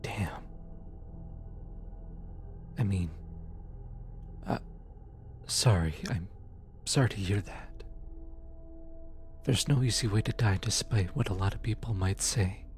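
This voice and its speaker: A man playing a gentle, awkward demon soul collector in an ASMR audio roleplay, speaking softly, tenderly and a little haltingly to comfort the listener. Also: on the low side. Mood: sympathetic. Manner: hesitant, halting, tender, casual.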